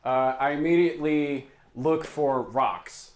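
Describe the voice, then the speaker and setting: A man's voice: a little high, slow. A man playing a tabletop fantasy game, chatting casually and speaking for his character.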